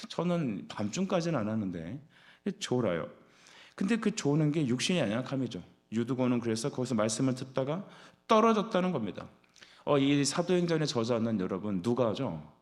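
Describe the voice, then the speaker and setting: A man's voice: medium pitch, darkish tone. A male pastor delivers an expository sermon in a mid-range voice. He exhorts the congregation directly. He often turns to them with rhetorical questions as he explains. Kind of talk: dawn service sermon, expository preaching.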